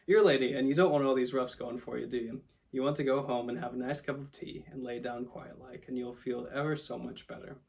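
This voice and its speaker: A man voicing a rough but kindly London cabby from a storybook, with a Cockney accent and dropped aitches. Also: mid-range voice, fairly even intonation, fairly quick, a little mellow, lightly acted. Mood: coaxing. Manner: dropped aitches, colloquial, coaxing. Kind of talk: storybook character voice.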